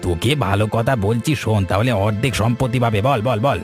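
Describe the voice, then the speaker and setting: A man plays a greedy cartoon trader with a fairly low voice. He is pushy and coaxing, every inch the village schemer, with music underneath. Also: fast talker, lightly acted. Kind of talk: cartoon story dialogue.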